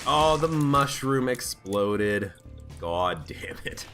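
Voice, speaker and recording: A man with a stuffed-up, hoarse voice, chatting casually as he plays a video game. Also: mid-range voice, animated, slow. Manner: chatty, thinking aloud while playing. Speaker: gaming channel host. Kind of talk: casual let's play commentary. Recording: background game music.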